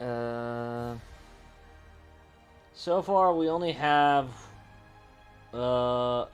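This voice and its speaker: A man streaming casually, thinking aloud in a musing, hesitant way with pauses mid-thought. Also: mid-range voice, soft background music.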